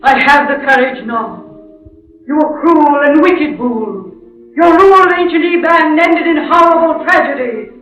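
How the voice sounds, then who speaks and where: Doomed defiant heroine, 1940s radio melodrama, very high voice, solemn, off-mic. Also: female voice, measured pace, a bit bright, soft background music. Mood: defiant, anguished. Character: doomed, defiant woman passing solemn judgment. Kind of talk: 1940s radio horror acting. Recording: echoey, distant 1940s radio recording.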